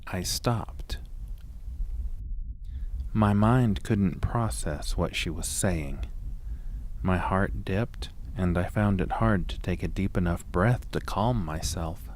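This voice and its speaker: A man playing an anxious, haunted narrator in a first-person horror audio drama, deliberate and suspenseful. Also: low-pitched, darkish tone, slight background noise. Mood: tense dread.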